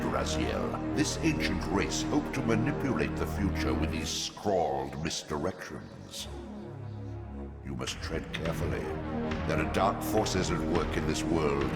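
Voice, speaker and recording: A very deep, echoing ancient godlike entity. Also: male voice, sing-song, vocal fry, full character voice. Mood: condescending warning. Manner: slow, grandiose, threatening, formal. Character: ancient, menacing, imperious godlike entity, deceitful and possessive. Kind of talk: theatrical video game voice acting. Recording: echoing voice under loud music.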